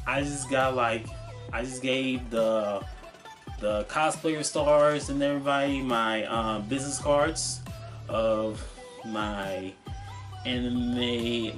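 A young man with a mid-pitched voice talks casually to camera in a vlog. He speaks haltingly, often repeating phrases and stumbling over words. Music plays clearly behind him in a small room. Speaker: vlogger showing convention purchases. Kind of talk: casual unscripted vlog chat. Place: small cluttered room at home, indoors.

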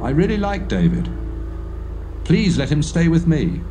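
A man's voice: fairly high pitch, huge pitch swings, unhurried, music in the background. An actor playing a male king of ancient Israel giving orders in a dramatised audio Bible. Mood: pleased.

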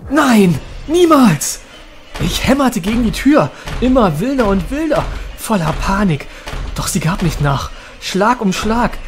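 A man's voice: sits high, big pitch swings, unhurried, over music. A man playing a haunted, desperate young monster trainer, telling his story in a horror audio drama. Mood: frightened, then breathless panic. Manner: dramatic, anguished. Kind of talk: first-person horror audio drama narration.

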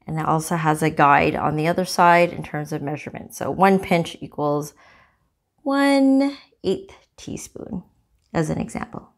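A woman with a fairly low voice talks through a cooking gadget at her kitchen counter. She is relaxed and chatty, with little fillers, and shows each part as she goes. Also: measured pace, vocal fry. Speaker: cooking channel host. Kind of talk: casual product demo.

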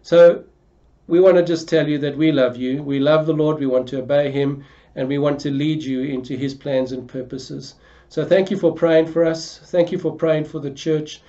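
A man's voice: a little high, steady tone, normal speed, warm tone. A man, a pastor giving an earnest church announcement to camera from an armchair at home. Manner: earnest, repeats words when restarting. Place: home living room, seated in armchair. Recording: dull lo-fi home video sound.

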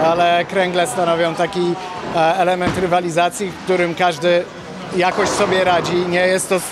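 A man in his forties, a team psychologist, calmly explaining in an interview at a bowling alley, into a handheld mic. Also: high voice. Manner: calm, measured explanations. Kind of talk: on-camera interview. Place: noisy bowling alley indoors. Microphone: handheld reporter mic. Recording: noisy venue background.

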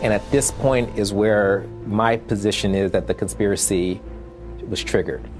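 A man's voice: fairly low pitch, steady tone, moderate pace, faint music behind. A man in a news interview, giving a formal explanation of a criminal case. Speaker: lawyer interviewed in a news report.

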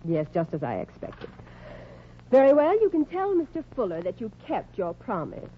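A woman's voice: a little high, wildly swooping pitch, normal speed, husky, heavily acted. A woman playing a haughty, scheming society lady, curt and snooty, in a 1940s radio comedy. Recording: muffled, hissy 1940s radio recording.

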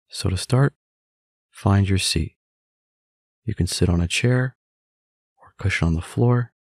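A man guides a meditation in a low voice with a gravelly edge. He speaks very slowly and calmly, giving gentle, measured instructions. The sound is dry and close. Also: no echo.

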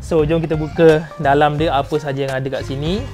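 A Malaysian man with a fairly high-set voice presents an unboxing in a casual, slangy style. He is an enthusiastic vlog host who leans on catchphrases. Light music plays behind him. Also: flat delivery, a little fast, darkish tone. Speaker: motovlog host. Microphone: clip-on lapel mic.

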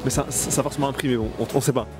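A man with a mid-pitched voice speaks quickly and casually, dropping slang as he asks questions. He is outdoors on a city street, with music in the background. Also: steady tone. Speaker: street interviewer. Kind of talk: street vox pop interview.

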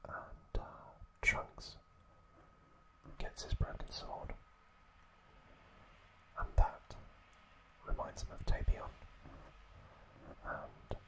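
A man whispering an ASMR story recap in a hushed, unhurried, hesitant way as the host. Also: poor audio.

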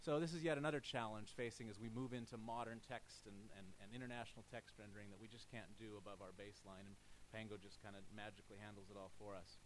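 A man's voice: fairly low pitch, fairly even intonation, fast talker, hardly any pauses, noisy background. A middle-aged man presenting a technical talk at a lectern, talking casually as he walks through a live demo, often restarting his sentences. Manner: casual, thinking aloud with frequent restarts. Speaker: conference presenter. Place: lecture hall, at a lectern.

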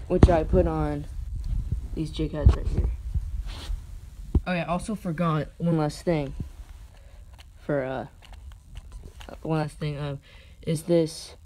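A man's voice: on the high side, mellow, poor audio. A man casually showing his fishing tackle, hesitant and rambling, with unsure asides, recorded on a phone in a carpeted room at home. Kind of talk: casual unscripted show-and-tell. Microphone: phone camera held over the gear. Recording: phone recording with clear background noise.

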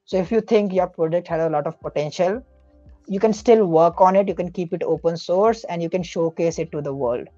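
A man's voice: sits high, a little fast, warm tone, no echo, muffled recording, soft background music. A man speaking as a podcast guest, giving earnest, explanatory advice in a casual way, often correcting himself mid-sentence.

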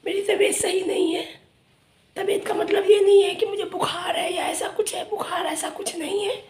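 A middle-aged woman vlogging casually to camera with a hoarse, lost voice, talking emphatically in a half-whisper from a small room. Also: very high voice, steady tone, moderate pace, a little mellow, roomy sound. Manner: emphatic, whispery from a sore throat.

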